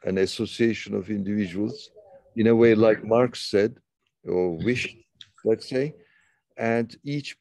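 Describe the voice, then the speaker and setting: A man from Turkey hosting a conversation over a video call, talking slowly with many fillers and restarts. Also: on the low side, a little mellow, no echo. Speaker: interview host. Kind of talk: casual conversation.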